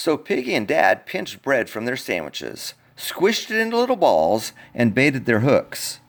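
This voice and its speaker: A man reading a picture book aloud in an expressive, playful way, talking directly to young listeners. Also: mid-range voice, unhurried, vocal fry. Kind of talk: animated children's storybook read-aloud.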